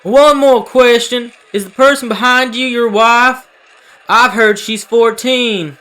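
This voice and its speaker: A man's voice: very high voice, steady tone, slow pace, sharp tone, a little hiss or hum, exaggerated voice. A man voicing a challenging press reporter in an anime fan dub, with a pointed, questioning manner. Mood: probing.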